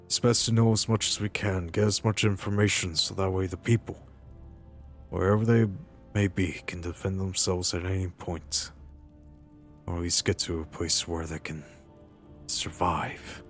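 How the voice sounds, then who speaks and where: A man voicing an earnest, reassuring ex-mercenary adventurer in a video game roleplay, speaking in a halting, deliberate way over music. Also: low-pitched, fairly even intonation. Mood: earnest, grave.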